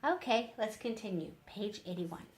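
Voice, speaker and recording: A woman reading a story aloud haltingly, with casual asides. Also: mid-range voice, lively intonation. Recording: echoey room.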